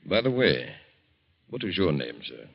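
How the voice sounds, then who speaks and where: A police captain in a vintage radio thriller. His deep voice is polite, formal and matter-of-fact. The recording is old and dull. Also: very animated, measured pace, exaggerated voice. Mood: official, polite. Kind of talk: old-time radio drama acting.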